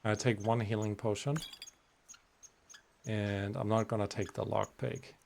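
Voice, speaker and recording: A man's voice: fairly low pitch, flat delivery, unhurried, gravelly, a little mellow, a little hiss or hum. A man giving casual, understated let's-play commentary over faint game sounds. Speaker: gaming video host.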